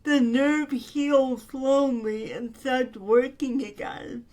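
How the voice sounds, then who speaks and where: A woman co-hosting a podcast in casual chat, earnest and affectionate, her voice a bit raspy from talking. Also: high-pitched, steady tone, a little mellow. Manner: slow, earnest and affectionate, with frequent fillers. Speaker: podcast co-host.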